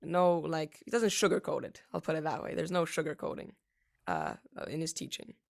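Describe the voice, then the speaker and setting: Teenage boy, gravelly, halting interviewee full of fillers. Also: fairly high pitch, very animated. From United States. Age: teens. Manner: halting, many fillers and restarts. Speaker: young pianist interviewee. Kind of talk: casual interview answers.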